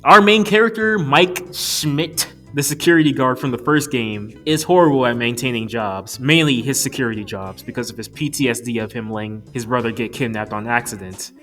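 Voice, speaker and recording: A man's voice: medium pitch, normal speed, few pauses, fairly bright tone. A man giving a conversational, opinionated film review in casual, slangy language. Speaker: video reviewer. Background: background music.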